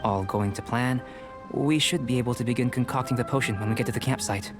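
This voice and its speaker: Man as young game alchemist: middle-pitched, composed, clinical, precise, reserved; music behind. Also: quick pace, lightly acted. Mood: composed, instructive. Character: calm young male alchemist scholar, reserved and analytical. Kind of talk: anime game voice acting.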